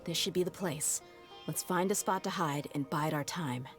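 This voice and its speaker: A tough female desert mercenary, voiced by a woman in a video game, speaking low and even. She is blunt and steady, and her threats come out cool rather than loud. The voice sits deep for a woman. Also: moderate pace, music in the background, close to an everyday voice. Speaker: game character.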